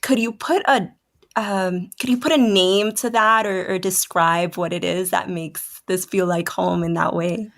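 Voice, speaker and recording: A woman interviewing a guest over a video call, asking questions with many pauses and fillers. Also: fairly low pitch, fairly even intonation, gravelly. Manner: hesitant, fills pauses with um and you know.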